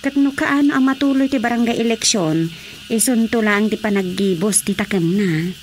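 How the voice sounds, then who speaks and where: A woman playing a talkative, concerned village woman in a Filipino radio drama, with an Ilocano accent and expressive, gossipy delivery. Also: fairly high pitch, moderate pace, a little hiss or hum, lightly acted.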